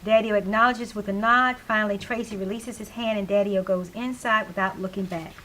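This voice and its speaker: A woman's voice: mid-range voice, steady tone, fairly quick, a bit bright, low-quality recording. A woman reading stage directions as scripted narration for a staged play reading. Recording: faint hiss, live room recording.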